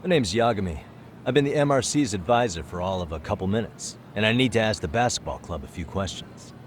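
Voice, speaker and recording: A man's voice: fairly low pitch, lively intonation, fast, slight background noise, natural voice. A man playing an easygoing, wry private detective in a video game, speaking in a casual, dry way. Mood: friendly, probing. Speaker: detective character. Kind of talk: English game voice acting. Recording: loud music behind the voice. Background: background music.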